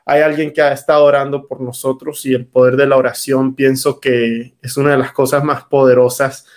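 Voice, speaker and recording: A man from Venezuela, a guest in an interview telling his family's story in a halting way with many restarts. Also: mid-range voice, flat delivery. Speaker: guest, startup founder.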